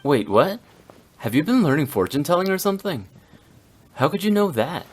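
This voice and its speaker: A man voicing an upbeat, friendly young delivery courier in a video game, talking in a casual, energetic way. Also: a little high, normal speed, heavy background noise. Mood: startled, relieved.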